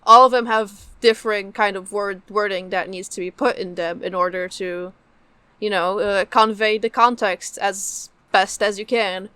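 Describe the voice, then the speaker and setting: A woman's voice: medium pitch, fairly even intonation, normal speed, sharp tone, clean tone, no echo. A young adult woman, a native Polish speaker, answering interview questions casually as a guest. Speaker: guest, game translator and developer.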